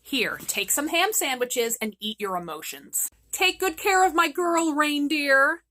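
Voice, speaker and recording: A woman's voice: high voice, lively intonation, measured pace, bright, forceful tone, pitched above the performer's own voice, exaggerated voice. A woman voicing a crude, tough robber girl who swears and makes threats with her knife. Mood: tough, teasing.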